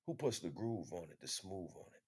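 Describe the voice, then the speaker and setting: Deep, husky man preaching off the cuff. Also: big pitch swings, brisk, darkish tone. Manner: emphatic, repetitive rhetorical questions and refrains, street slang. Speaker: spiritual teacher streaming online. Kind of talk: impromptu spiritual monologue, preaching.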